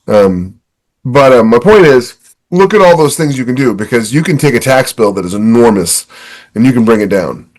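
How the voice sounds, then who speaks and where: A man's voice: mid-range voice, animated, normal speed, darkish tone, poor audio. A man in his fifties giving casual, emphatic, explanatory advice in a conversational chat. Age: 50s. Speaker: podcast co-host.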